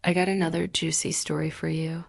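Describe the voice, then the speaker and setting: A woman with a low voice narrates a scary story as its host. There is steady fry in her tone, and she keeps her pitch within a narrow band. She speaks deliberately, leaving dramatic pauses between phrases. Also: no echo. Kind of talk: scripted horror story narration.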